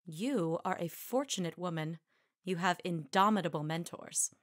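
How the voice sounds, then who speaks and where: An actress playing an imperious suffrage leader in a radio drama, formal, clipped and commanding. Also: female voice, on the low side, moderate pace, dry recording, clean studio sound, natural voice. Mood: cool, dismissive. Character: imperious, brisk-minded woman suffrage leader.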